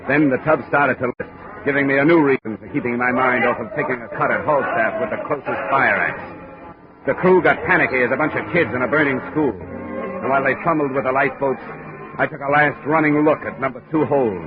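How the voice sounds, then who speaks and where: An American actor playing a tough, wisecracking insurance investigator in a 1950s radio drama, clipped and world-weary. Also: male voice, mid-range voice, brisk, loud music behind the voice, lightly acted. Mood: tense, grim narrating. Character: hard-boiled, wisecracking male insurance investigator. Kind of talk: 1950s radio detective drama acting and narration. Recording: noisy, muffled 1950s radio recording.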